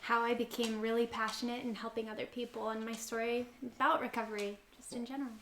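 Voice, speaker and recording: A young woman talks as a guest on a casual podcast, upbeat and eager, with an um now and then. Her voice is high and bright, and the room gives it a noticeable echo. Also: flat delivery, fast talker, slight background noise. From grew up in Singapore, born in Texas. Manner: upbeat, eager, frequent ums. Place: living-room podcast studio. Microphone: desk mic on a boom arm.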